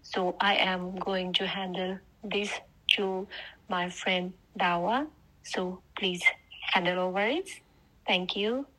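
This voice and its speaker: A woman's voice: lowish voice, steady tone, slow pace, pushed and bright, low-quality recording. A woman introducing a podcast in hesitant, non-native English. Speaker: podcast presenter.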